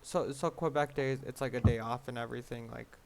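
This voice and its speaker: A man's voice: mid-range voice, fairly even intonation, a little fast, gravelly, a little mellow. A man chatting casually, asking tentative questions that tend to trail off. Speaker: study group participant asking questions. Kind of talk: casual chat. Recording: faint background noise and clicks.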